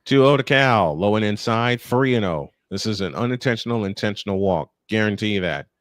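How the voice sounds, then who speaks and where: A man's voice: fairly low pitch, expressive, a little fast, dry recording. Older man calling baseball, dry close sound. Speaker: baseball play-by-play announcer. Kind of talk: live play-by-play commentary with casual banter.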